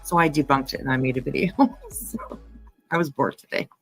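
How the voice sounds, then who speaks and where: A woman hosting her channel, chatting casually and haltingly, with many ums and restarts. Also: extremely low pitch, animated, slightly rough, soft background music, low-quality recording. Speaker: channel host. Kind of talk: casual commentary chat.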